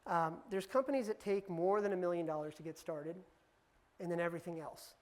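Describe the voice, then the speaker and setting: A woman's voice: lowish voice, steady tone, brisk. A woman whose first language is Punjabi, giving a loose, joking conference talk to a live audience. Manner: chatty, teasing the audience, loose with fillers. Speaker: keynote speaker.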